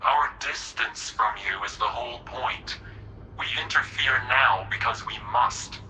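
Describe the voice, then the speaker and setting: An actor playing a menacing, imperious alien emissary in a video game, formal and condescending, through a radio filter. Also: male voice, mid-range voice, measured pace, sharp tone, slight background noise, low-quality recording. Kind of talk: scripted video game acting.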